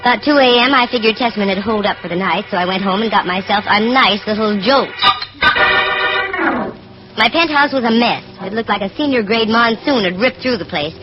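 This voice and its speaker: An American actress playing a sharp-witted female private detective in 1940s radio style, breezy, slangy and wry. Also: medium pitch, brisk, lightly acted. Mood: wry narrating. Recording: dull vintage radio recording with music.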